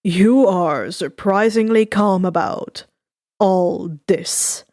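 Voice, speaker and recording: A woman voicing a laid-back young male villain with a flat, unbothered manner, in a story reading. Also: mid-range voice, takes it slowly, dry recording, studio quality, voice pushed down, lightly acted.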